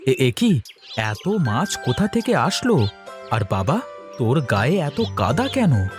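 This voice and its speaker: A man voicing an easygoing, kindly village man in a cartoon, expressive and reassuring. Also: mid-range voice, slow pace, faint music behind. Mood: surprised, pleased. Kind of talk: cartoon voice acting.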